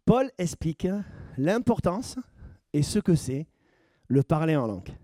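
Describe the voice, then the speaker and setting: A man's voice: on the high side, very animated, measured pace, mellow, dry recording. A man preaching as pastor, questioning his congregation and building to emphatic points. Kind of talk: sermon teaching.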